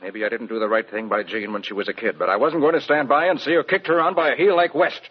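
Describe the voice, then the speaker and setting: A man's voice: mid-range voice, fairly even intonation, fast talker, breathy edge, natural voice. An actor playing a blunt middle-aged show producer, a protective father and confessed killer, in an old-time radio mystery. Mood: defiant, regretful. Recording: dull vintage radio recording.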